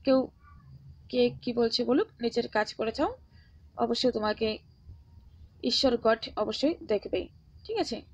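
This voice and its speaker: A woman's voice: on the high side, animated, unhurried, pauses often. A woman teaching an online exam class in an instructional way, often repeating phrases and adding short check-in questions. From India. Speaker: exam-prep history teacher. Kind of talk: online class, reading quiz questions and answers with encouraging advice.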